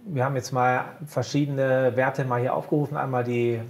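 A man co-hosting a casual market chat, calmly walking through stock charts point by point. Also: mid-range voice, moderate pace. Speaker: co-host of a broker's market show.

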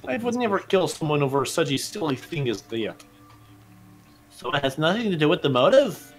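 A man voicing a creepy, lovesick young man with a theatrical, drawn-out delivery in a game fan dub. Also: a little high, very animated, faint music behind. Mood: eerily composed. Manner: slow, dramatic, drawn-out delivery.

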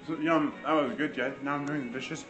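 A man's voice: fairly high pitch, steady tone, a little fast. A young man vlogging while he cooks in a small home kitchen, mumbling asides and humming along, with the camera propped on the counter. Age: young adult. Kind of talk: casual cooking vlog. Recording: music playing behind. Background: music playing.

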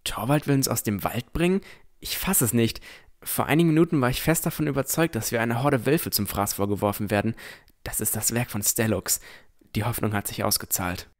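A man's voice: medium pitch, brisk, natural voice. A man playing a cautious, easily amazed boy who narrates his own adventure in a scripted fantasy audio drama. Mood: excited.

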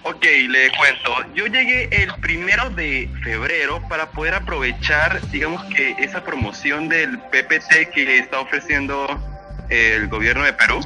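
A man's voice: fairly high pitch, expressive, measured pace, bright, forceful tone, faint music behind. A young Venezuelan man with a Táchira border accent, a rambling interview guest who often repeats his words. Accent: Venezuelan Andean, from Táchira near the Colombian border. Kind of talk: casual interview answers.

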